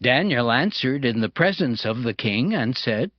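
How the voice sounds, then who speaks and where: A man narrating dramatized scripture in a measured, formal storytelling style. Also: medium pitch, lively intonation, no echo. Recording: dull band-limited audio under prominent music. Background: music.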